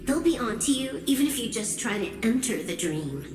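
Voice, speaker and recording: A woman's voice: fairly high pitch, very animated, brisk. An actress voicing a cool, cautious young woman hacker and strategist in an anime game, dry and matter-of-fact. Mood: firm, cautioning. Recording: echoey room, music behind. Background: game music.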